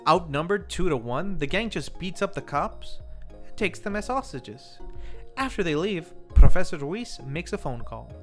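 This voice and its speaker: A man with a slightly high voice hosts a scripted film review. His intonation swings widely as he narrates, and he slips in casual, wry side remarks. Music plays softly behind him. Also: big pitch swings, measured pace.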